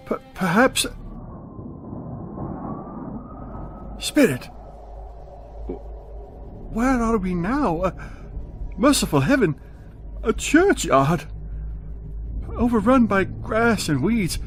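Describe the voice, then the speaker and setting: A man's voice: high voice, full character voice. A man playing a frightened, repentant old miser in a radio drama, stammering and pleading as he draws out his words. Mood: fearful. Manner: stammering, pleading, drawn-out delivery. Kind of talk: theatrical radio drama acting.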